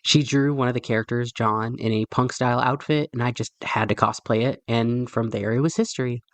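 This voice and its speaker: A man's voice: medium pitch, steady tone, fairly quick, no echo. A man recording a listener voice message, a prepared personal testimonial delivered as a fan of a show.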